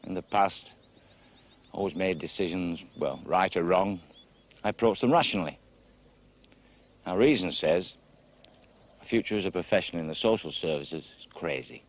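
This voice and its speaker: A man's voice: low voice, moderate pace, long pauses. A man of about forty with an English accent, acting in a TV drama as a reflective, self-doubting man confiding in someone. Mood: hesitant, troubled. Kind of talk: naturalistic television drama acting.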